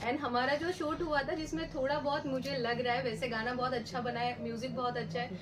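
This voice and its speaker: A woman's voice: high-pitched, level tone, fairly quick, a crowd in the background. A woman performer answering press questions, mixing English words and phrases into her speech. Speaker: actress and music video performer. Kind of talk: press event interview answers. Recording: noisy event room, distant mic.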